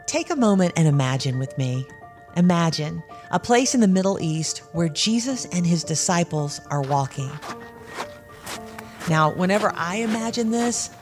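A woman narrating a scripted audio drama in a measured, evocative storytelling way. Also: on the low side, darkish tone. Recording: clear music bed underneath.

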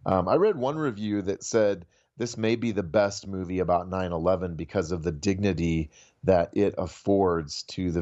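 A man with a fairly low voice speaks as a guest critic on a slightly dull, band-limited feed. He explains in a thoughtful, conversational way. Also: darkish tone. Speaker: media critic, guest commentator. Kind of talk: conversational film commentary.

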